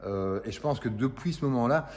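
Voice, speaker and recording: A man's voice: mid-range voice, lively intonation, fairly quick, a little mellow, long reverb tail. An older man, explaining car restoration in a casual interview, hesitant and repeating words. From Italian roots.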